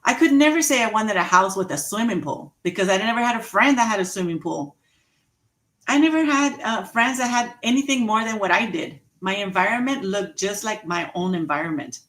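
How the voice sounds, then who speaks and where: A woman in her forties giving an inspirational talk, slow and emphatic, repeating key phrases and laughing at her own jokes. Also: medium pitch, lively intonation, fairly bright tone. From Mexican heritage, raised in the US. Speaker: motivational speaker. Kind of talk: inspirational speech.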